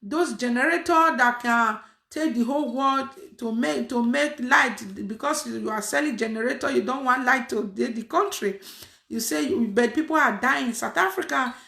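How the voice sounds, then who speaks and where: A woman's voice: fairly high pitch, moderate pace, creaky, bright, forceful tone. A woman preaching casually to an online audience, emphatic and repeating her phrases, with frequent fillers. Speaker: online prayer host. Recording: echoey room.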